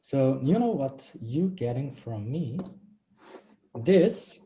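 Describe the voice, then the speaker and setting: A man's voice: mid-range voice, slow, slight background noise. A man in his thirties from Austria, presenting his final project on a video call. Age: 30s. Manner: a little flustered by screen-sharing trouble, then steady and explanatory. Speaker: bootcamp graduate presenting a project. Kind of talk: live-streamed project presentation.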